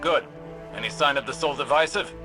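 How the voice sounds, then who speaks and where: A man voicing a calm, commanding male mentor guiding a mission in a video game, over game music. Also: medium pitch, brisk, close to an everyday voice. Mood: stern. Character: stern wise male mentor and mission overseer.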